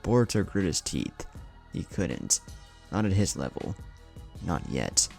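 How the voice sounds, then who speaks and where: A man's voice: sits low, big pitch swings, unhurried, vocal fry, darkish tone, soft background music. A man reading a scripted adventure story aloud as its narrator. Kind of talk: scripted narration of fan fiction.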